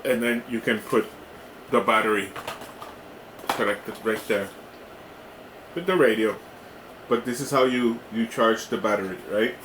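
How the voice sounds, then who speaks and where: A man giving a casual hands-on product demo in a halting way, often checking in with the listener. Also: lowish voice. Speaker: product reviewer. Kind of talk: casual hands-on unboxing demonstration. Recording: roomy echo with clear background noise.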